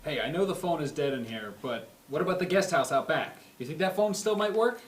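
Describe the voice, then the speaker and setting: A man with a slightly high, animated voice performs lines at a live comedy reading. He sounds off-mic in a reverberant room over faint music. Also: fairly quick, recorded from a distance, a little hiss or hum. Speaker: table read performer.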